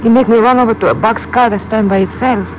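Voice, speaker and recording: Frightened young Mexican crime victim played by a woman, high and halting, old-time radio, loud vehicle noise behind. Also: steady tone, close to an everyday voice. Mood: shaken, halting. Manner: halting, pained, weary. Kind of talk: 1950s radio drama acting.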